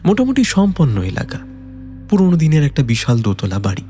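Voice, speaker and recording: A man reads a detective story in a dramatic, scripted style. His voice is somewhat high and mellow, with very lively pitch movement. Soft music runs behind it. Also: a little fast. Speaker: narrator.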